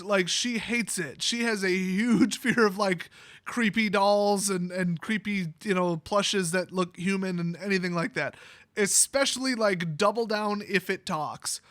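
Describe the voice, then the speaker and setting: An American man telling personal stories in a casual, rambling vlog style, animated and prone to exaggeration. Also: high-pitched, dry recording. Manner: animated, exaggerating, quotes people in acted-out voices. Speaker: YouTube vlogger.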